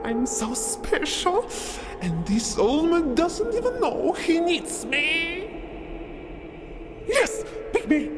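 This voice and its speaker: A woman voicing a small, childlike, self-important magical creature in a fantasy game, excitable and singsong. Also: very high voice, slow, background noise, exaggerated voice. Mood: excited, pleading. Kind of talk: fantasy video game voice acting. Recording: long hall reverb under music.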